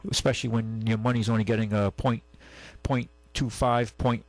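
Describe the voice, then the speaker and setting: Gravelly radio host guy, blunt and folksy, dry studio sound. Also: on the low side, flat delivery, normal speed, dry recording. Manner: blunt, folksy, talks with callers about car money. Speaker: radio call-in show host. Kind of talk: call-in radio chat.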